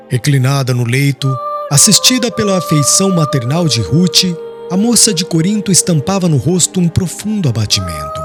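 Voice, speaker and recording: A man narrating an audiobook in a solemn, literary, dramatic style. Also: a little high.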